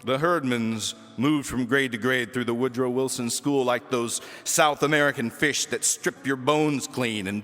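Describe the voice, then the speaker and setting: A man's voice: mid-range voice, fairly bright tone, in a large hall. A man reading a children's book aloud as a storyteller, with theatrical, emphatic delivery. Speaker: audiobook reader.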